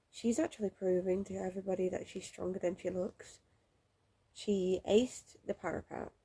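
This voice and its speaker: Young woman book vlogger, raspy and creaky, excitable and a bit snuffly. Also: mid-range voice. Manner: chatty, excitable, swears casually. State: has a cold, snuffly. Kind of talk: casual reading vlog. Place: home room under a window, lamp lit.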